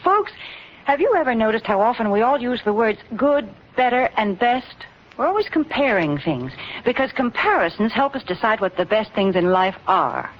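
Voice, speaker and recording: An American woman giving a chatty, polished sales pitch in 1940s radio style. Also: very animated, moderate pace. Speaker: sponsor's spokeswoman. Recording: muffled vintage 1940s radio recording.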